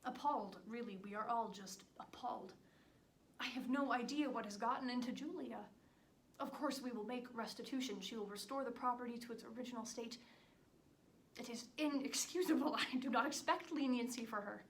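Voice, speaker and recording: A woman plays a devoted, proud country wife in a period stage monologue. The voice is fairly high, with earnest, formal, old-fashioned diction. It is picked up from a distance in a reverberant room, with a faint layer of noise behind it. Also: brisk, natural voice. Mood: upset, apologetic. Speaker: stage actress performing monologues. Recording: echoey room, distant mic, background noise.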